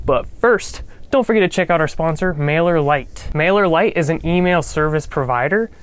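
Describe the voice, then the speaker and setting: A young man with a nasal voice from a cold, hosting a casual coding tutorial with upbeat talk and joking asides. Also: fairly high pitch. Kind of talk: casual instructional talk to camera.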